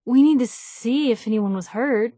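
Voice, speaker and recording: An actress playing a feisty, sarcastic young woman reporter in a video game, with a brash, conversational and expressive delivery. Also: a little high, normal speed, no echo, studio quality, lightly acted. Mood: wry, concerned. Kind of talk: video game companion voice lines.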